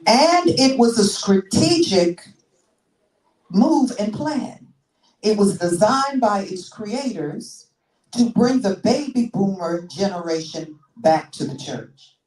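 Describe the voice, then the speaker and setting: A woman's voice: mid-range voice, lively intonation, takes it slowly, a little mellow. A woman in her sixties preaching a sermon as pastor, teaching with frequent restarts and repeated words. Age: 60s. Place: church sanctuary. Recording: echoey church room, mic far off.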